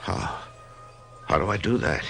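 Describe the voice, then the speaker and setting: An actor playing an author who narrates his own death in a whispery, hushed voice, stammering with wonder, in a radio drama. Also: a little slow, music in the background, close to an everyday voice. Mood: awed. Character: dying male author, awed, curious. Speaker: narrator and lead.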